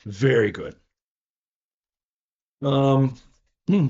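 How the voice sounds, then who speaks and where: A man's voice: medium pitch, lively intonation, very slow, vocal fry, darkish tone, lo-fi sound. A man in his fifties hosting a casual podcast chat, rambling and wry, poking fun at himself. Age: 50s. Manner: wry, rambling, self-deprecating. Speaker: podcast host.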